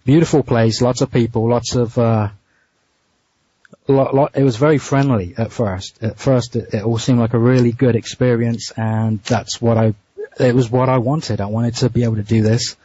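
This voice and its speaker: A man with a low, husky voice speaks as an interview guest, often stumbling and repeating words as he goes. The sound is dry and muffled, like a lo-fi radio line. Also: fairly even intonation, mellow, dry recording. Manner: halting, many restarts and repeats. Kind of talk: casual interview talk.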